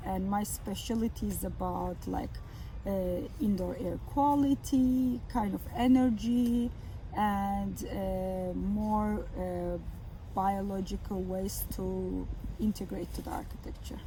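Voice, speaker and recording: A middle-aged woman from Turkey, a professor talking outdoors in a garden, speaking English with many fillers and pauses. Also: mid-range voice, steady tone, mellow, a little hiss or hum, rough recording. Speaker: architecture professor. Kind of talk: outdoor conversation.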